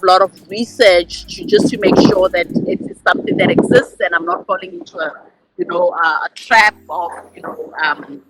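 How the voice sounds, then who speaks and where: A woman's voice: lowish voice, slight background noise, rough recording. A woman in her thirties from South Africa with a South African accent, candidly telling her story as an interview guest. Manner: bright, candid, repeats words.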